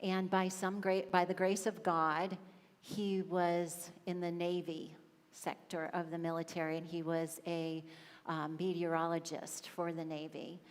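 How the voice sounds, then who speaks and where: A woman's voice: fairly low pitch, flat delivery, a little slow. A woman giving a conversational sermon as a spiritual practitioner, telling family stories. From Swedish and Lithuanian family. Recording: hall reverb.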